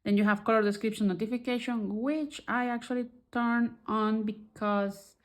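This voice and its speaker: A woman who is not a native English speaker, chatting casually through a digital art software tutorial and often restarting as she searches for words. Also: fairly high pitch, fairly even intonation, moderate pace. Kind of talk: casual screen-recorded tutorial.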